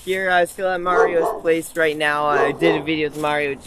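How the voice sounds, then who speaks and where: A man's voice: on the high side, completely flat delivery, a little fast, a loud crowd. A young man hosting a casual walkaround video outdoors, upbeat and rambling with lots of fillers. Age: young adult. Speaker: host. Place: outdoor car yard. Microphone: handheld camera. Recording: outdoor yard noise.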